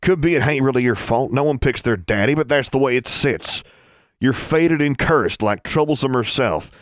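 A man's voice: fairly low pitch, sing-song, normal speed, no room sound, studio quality, pitched above the performer's own voice. A man voicing a righteous, accusing teenage country boy in an audiobook, with a rural Southern US accent and preachy, old-fashioned dialect. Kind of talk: audiobook character dialogue.

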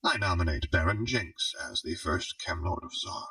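A man voicing an imperious, calculating woman crime baron in an audiobook, husky, cool and commanding. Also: low voice, moderate pace, pushed and bright, no room sound, far from the mic, full character voice, poor audio.

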